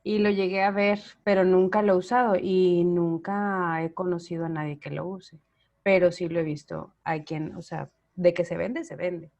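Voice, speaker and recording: A woman's voice: fairly low pitch, steady tone, moderate pace, darkish tone, highs cut off. A woman, chatty and asking questions in a group video call. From Mexico. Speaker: support group participant.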